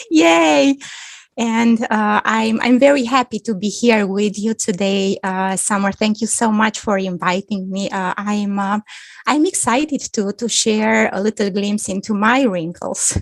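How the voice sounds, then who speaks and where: A woman in her fifties from Romania, speaking with a Romanian accent as a guest on a video-call chat, thoughtful and quick to laugh. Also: medium pitch, gravelly, dry recording. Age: 50s. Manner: slow, thoughtful, laughs often. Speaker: guest author. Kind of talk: casual video-call interview.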